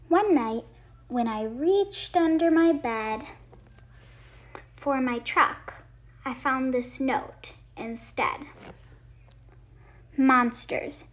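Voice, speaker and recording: A woman reading a picture book aloud in an expressive, halting way with emphatic phrasing. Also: high voice. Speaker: read-aloud host.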